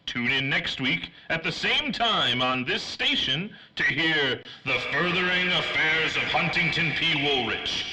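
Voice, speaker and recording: A man's voice: fairly high pitch, expressive, unhurried, hardly any pauses, bright, forceful tone, rough recording. A man reading a scripted sign-off as an announcer in 1930s radio style.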